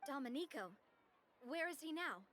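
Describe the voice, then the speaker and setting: Game role: confident young woman boss, high voice, animated, breezy, faint music behind. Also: normal speed. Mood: decisive. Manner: breezy, assured. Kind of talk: video game voice acting.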